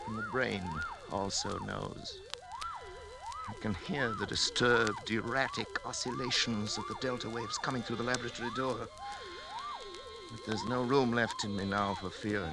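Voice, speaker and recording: A doomed scientist in an old radio thriller, his voice gravelly and swinging widely in pitch. He is grave, remorseful and theatrical, with music swelling behind him. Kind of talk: 1940s radio drama acting. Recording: vintage 1940s radio broadcast with music.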